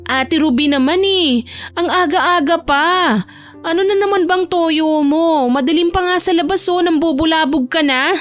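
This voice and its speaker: A woman's voice: extremely high pitch, normal speed, faint music behind, pitched above the performer's own voice. A woman voicing a sleepy, irritable young woman in a romance audio drama, whiny, grumbling and sarcastic. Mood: sleepy, annoyed.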